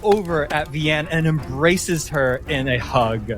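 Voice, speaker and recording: A man narrating a tabletop fantasy game as game master, in a measured, descriptive storytelling manner. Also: a little high.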